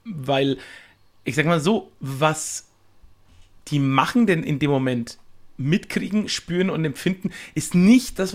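A man chatting casually as a podcast guest and improv performer, acting out thoughts in quoted asides. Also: fairly high pitch. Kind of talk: casual animated chat.

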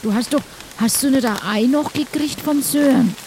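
A woman's voice: sits high, normal speed, mellow, a little hiss or hum. A woman chatting casually in character as a player in an improvised tabletop game.

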